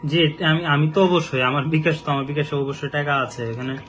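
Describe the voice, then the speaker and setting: A man's voice: a little high, fairly even intonation, a little fast, crowd noise. A Bangladeshi man answering a phone call as a customer, speaking casually and hesitantly and repeating himself.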